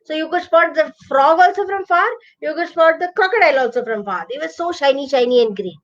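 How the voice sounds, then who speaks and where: A woman's voice: high-pitched, lo-fi sound. A woman with an Indian accent narrating a children's bedtime story expressively, drawing out and repeating words for emphasis. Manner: expressive, drawn-out repetition for emphasis.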